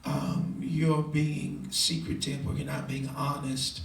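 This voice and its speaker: A man in his twenties, a preacher, answering interview questions hesitantly with many fillers and soft laughs. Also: fairly high pitch, level tone, takes it slowly, creaky. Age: 20s. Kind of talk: casual Q&A interview. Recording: echoey room, far from mic.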